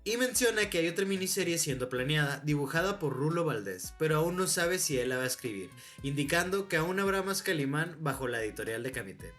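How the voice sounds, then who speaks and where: A man's voice: on the high side, lively intonation, fairly quick, few pauses, a bit bright. Man narrating informally over music. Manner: candid, openly unsure of facts. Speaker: video essay creator and narrator. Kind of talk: informal scripted documentary narration.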